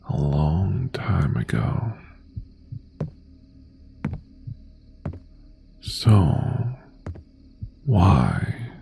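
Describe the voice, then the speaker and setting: A man playing an obsessive mafia boss ex-boyfriend in an intimate ASMR roleplay, softly threatening and possessive yet tender, in a gravelly half-whisper. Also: low voice, a little hiss or hum, exaggerated voice. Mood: tender reproach. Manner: slow, deliberate, softly threatening.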